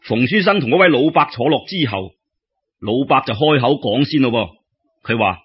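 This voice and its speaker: A man telling a traditional story in Cantonese as a radio storyteller, colloquial and expressive, with exclamations and drawn-out particles. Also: medium pitch, husky. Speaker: storyteller, radio show host. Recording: dull lo-fi radio-style recording.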